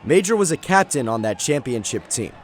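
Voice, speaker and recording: Young male sportscaster with a lively delivery. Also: mid-range voice, fairly quick, a little hiss or hum. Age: 20s. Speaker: sports reporter and play-by-play announcer. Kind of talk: sports broadcast narration and commentary.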